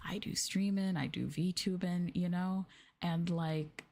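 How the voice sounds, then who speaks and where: A woman streamer talking softly and gently in an ASMR live chat, often dropping into a whisper, close to the microphone. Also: lowish voice, steady tone, slow, vocal fry. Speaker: VTuber streamer. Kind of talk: soft-spoken ASMR livestream chat.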